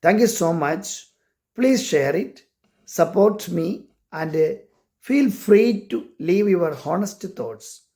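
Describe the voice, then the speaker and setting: Indian accent guy, not a native english speaker, highish voice, talks real slow n earnest, sharing his own writing. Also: very animated, warm tone. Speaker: amateur short story writer and care worker. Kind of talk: personal introduction and reading aloud of own short story.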